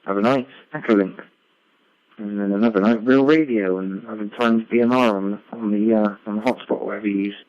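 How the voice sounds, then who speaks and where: Male radio ham, low voice, chatty and rambling, muffled dry digital-radio sound. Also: steady tone, fairly quick, a little mellow, no echo. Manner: rambling, frequent tag questions. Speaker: amateur radio operator. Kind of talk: casual ham radio chat. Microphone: radio link played through a computer.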